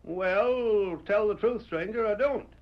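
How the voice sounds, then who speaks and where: A man's voice: high-pitched, takes it slowly. A man playing an older, plain-spoken former sheriff in an old radio western, with a folksy Western American accent and emphatic frontier talk. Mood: earnest, opinionated. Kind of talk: 1940s radio western acting. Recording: muffled old radio broadcast.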